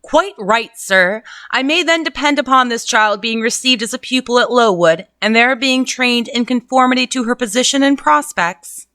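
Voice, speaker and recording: A woman's voice: fairly high pitch, normal speed, hardly any pauses, pushed and bright, dry recording. Woman reading as a cold, haughty guardian. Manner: curt, disdainful.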